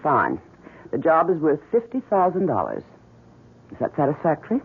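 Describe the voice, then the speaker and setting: On a muffled old radio broadcast, a rich, imperious heiress. Her voice is husky with big swings in pitch, and she speaks in curt, haughty, businesslike phrases. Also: wildly swooping pitch, moderate pace, full character voice. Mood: businesslike, guarded. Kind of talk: old-time radio drama acting.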